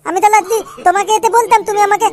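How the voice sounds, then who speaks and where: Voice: very high voice, fairly even intonation, racing. A performer voicing a cheeky, foul-mouthed little boy in a comic cartoon, boastful and grumbling with a childish lisp. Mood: indignant complaining.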